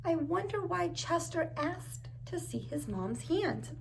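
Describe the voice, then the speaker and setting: Slow, creaky-voiced woman reading to children. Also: extremely high pitch, big pitch swings, slight background noise, poor audio. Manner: encouraging, asks simple questions. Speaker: preschool teacher reading aloud. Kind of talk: storybook read-aloud with asides to young children.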